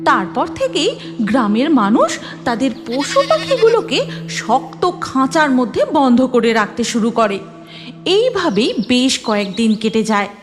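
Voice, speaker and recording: A woman narrates a cartoon story from a script. Her voice is fairly high with animated intonation, and music is clearly heard behind her. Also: unhurried.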